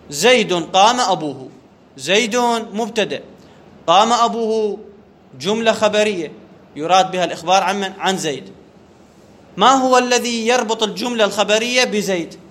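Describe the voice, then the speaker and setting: A man's voice: high voice, normal speed, pauses often, fairly bright tone. A man teaching a grammar lesson. He puts questions to the class, answers them himself and repeats the key terms. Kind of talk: classroom lecture on Arabic grammar.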